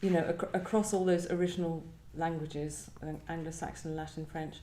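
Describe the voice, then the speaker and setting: A woman's voice: low voice, steady tone, moderate pace, mellow, a little hiss or hum. A woman poet speaking reflectively about her work, thoughtful and searching in her phrasing. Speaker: poet discussing own work. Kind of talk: reflective literary conversation with poetry reading. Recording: roomy live event sound.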